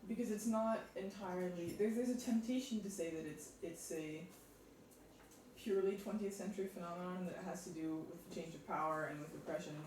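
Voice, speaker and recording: Guy musing out loud at a seminar, slightly high voice, far from the mic, big echoey room. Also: a little fast, slight background noise. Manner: thinking aloud with many restarts. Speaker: literature researcher. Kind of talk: discussion in a museum seminar. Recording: roomy, from far off.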